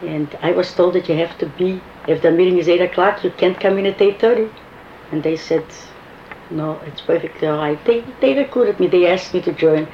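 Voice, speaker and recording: A woman's voice: lowish voice, brisk. An older woman with a foreign accent, telling her story in an oral history interview and acting out the dialogue. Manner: animated storyteller, quotes dialogue. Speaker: interviewee recalling activism. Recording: muffled, echoey room with faint hiss.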